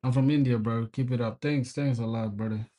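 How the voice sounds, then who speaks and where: A man's voice: medium pitch, steady tone, a little fast, dull audio. A young man from South Sudan livestreaming his coding, chatting casually with viewers, swearing and venting frustration, calling everyone bro. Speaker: programming livestreamer. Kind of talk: casual live coding stream.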